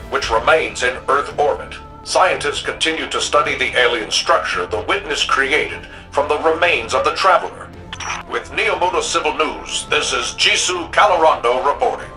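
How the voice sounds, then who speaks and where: A man's voice: on the high side, pushed and bright, poor audio. An actor playing a formal, composed male news anchor of a futuristic city in a video game, reading a scripted bulletin. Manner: formal, measured announcing.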